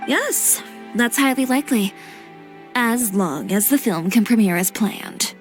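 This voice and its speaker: Cool young fashion designer, game role: matter-of-fact, fairly high pitch, faint music. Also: female voice, expressive, measured pace. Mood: reserved. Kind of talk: video game character acting.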